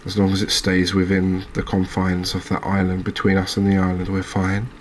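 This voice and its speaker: A man giving quiet, unhurried ASMR-style commentary as he plays a video game, musing aloud with hesitant pauses. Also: low-pitched, monotone, a little hiss or hum. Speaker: host of a gaming channel playing a fishing game.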